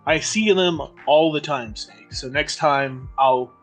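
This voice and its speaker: A man's voice: fairly high pitch, slow. Male co-host, rambly storyteller, trails off and restarts, light music bed. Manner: rambling, full of uh fillers. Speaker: podcast co-host. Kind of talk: casual livestream chat.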